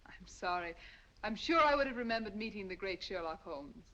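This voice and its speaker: A woman's voice: mid-range voice, expressive, moderate pace, bright, forceful tone. A woman playing a cunning, poised villainess in an old radio drama, with silky, polished politeness. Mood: scheming. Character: cunning, poised female villain, gracious and cold. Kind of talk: 1940s radio acting. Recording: dull old radio audio.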